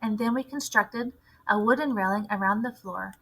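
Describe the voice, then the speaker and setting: A woman reading a storybook aloud like a teacher, with expressive, deliberate phrasing. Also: mid-range voice, husky, rough recording.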